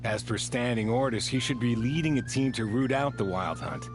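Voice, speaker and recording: An actor playing a weary, dutiful sergeant major in a video game, frank and matter-of-fact. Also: male voice, mid-range voice, normal speed, over music, lightly acted. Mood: frustrated.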